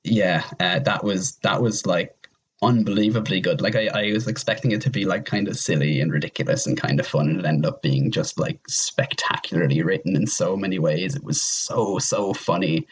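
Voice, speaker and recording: A man's voice: sits low, moderate pace, slightly rough. A man chatting casually as a podcast guest, rambling enthusiastically and piling up intensifiers as he recommends things. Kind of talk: casual chat, recommending games.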